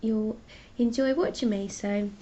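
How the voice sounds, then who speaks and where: A woman's voice: a little high, fairly even intonation, a little slow, warm tone. A woman artist with a cold and a stuffy voice, chatting casually as she hosts her own live stream and explains her paintings. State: congested with a cold. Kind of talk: casual art chat, showing paintings.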